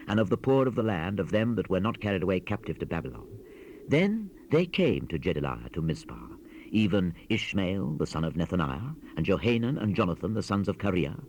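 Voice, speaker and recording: A man reading dramatized scripture narration in a solemn, declamatory manner, on an old cassette recording. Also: low-pitched. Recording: noisy 1970s cassette rip.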